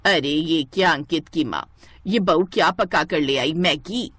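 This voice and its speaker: A woman's voice: deep voice, unhurried, fairly bright tone, no echo, voice pushed down. A woman voicing a grumbling older aunt in a cartoon story, complaining theatrically in disgust.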